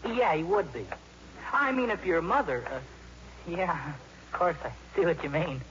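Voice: mid-range voice, animated, unhurried, a bit bright. A performer playing an eager, awkward, lovestruck teenage boy with a blunt gee-whiz manner in a 1950s radio drama. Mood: curious, awkward. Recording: muffled, noisy 1950s radio recording.

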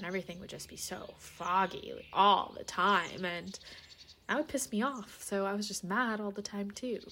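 A woman's voice: medium pitch, sharp tone, slight background noise. A woman playing a despairing, short-tempered lone-wolf werewolf in an audio drama, weary and wry, sometimes dropping to near-whispers. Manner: sardonic, swearing, wry laughs. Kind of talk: naturalistic audio drama acting.

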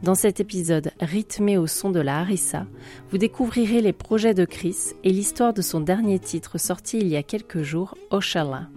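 A woman's voice: lowish voice, normal speed, warm tone. A woman from France reading a scripted podcast introduction as the host. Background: plucked guitar music.

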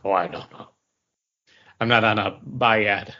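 A man co-hosting a podcast, chatting casually about pop culture in a rambling, halting way that often trails off. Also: on the low side, sharp tone, muffled recording. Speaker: podcast co-host.

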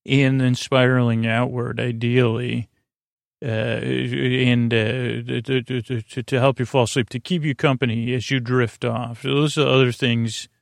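A man with a mid-pitched, creaky voice talks as the host of a sleep podcast. He is lulling and halting, circling through tangents and correcting himself as he goes. The sound is dry, with no room around it. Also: little pitch movement, no echo. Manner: rambling, halting, frequent tangents and self-corrections; soothing, lulling delivery. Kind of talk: meandering sleep podcast monologue.

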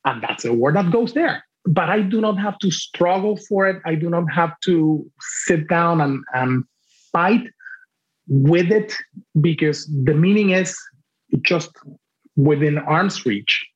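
A man whose first language is Spanish talks in a slightly higher-than-average register, weighing each phrase and often circling back to restart a thought. He sounds like a guest on a podcast conversation. The audio is dull and lo-fi, like a remote call. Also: lively intonation. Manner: deliberate, with frequent restarts. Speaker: translator guest.

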